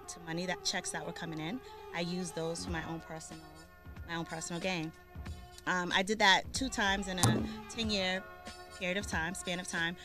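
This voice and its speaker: A woman from Boston, a guest on the show, talking casually with lots of ums and restarts. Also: fairly low pitch. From Boston, Massachusetts. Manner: chatty, lots of ums and restarts, laughs easily. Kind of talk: casual interview talk.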